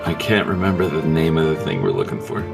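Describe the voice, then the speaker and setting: A man's voice: rumbling low, sing-song, fast talker, vocal fry, over music. A man playing at a tabletop game, speaking formally in character. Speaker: player.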